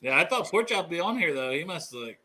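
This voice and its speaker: A man's voice: on the high side, very animated, brisk, pushed and bright. A man with a Southern US accent co-hosting a podcast, chatting loosely and laughing through banter. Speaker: co-host. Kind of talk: casual podcast chat.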